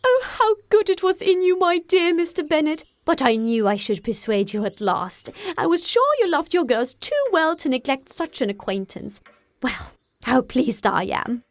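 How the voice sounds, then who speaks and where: A woman voicing a nervy, excitable middle-aged mother in an audiobook, with a fretful, effusive manner. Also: sits high, moderate pace, no echo, pitched above the performer's own voice. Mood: gushing delight. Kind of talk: audiobook character acting.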